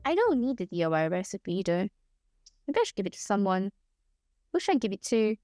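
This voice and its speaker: A woman's voice: medium pitch, very animated, a little fast, mellow, soft background music. Chatty young woman, mixed Singaporean accent. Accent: mixed, partly Singaporean English. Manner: chatty and rambling, with frequent laughs and playful pleading. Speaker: streamer. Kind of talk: casual livestream chat while gaming.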